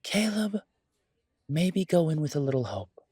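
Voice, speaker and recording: High pitched fussy elfy shopkeeper for audio rp, swoopy, prim, stammery, cheerful. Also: male voice, sing-song, a little slow, dark tone, slightly rough, dry recording, studio quality, heavily acted. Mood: hopeful, encouraging. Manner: prim, polite, fluttery and stammering. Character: fussy, chirpy pointy-eared male shopkeeper, cheerful optimist. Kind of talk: audio roleplay acting.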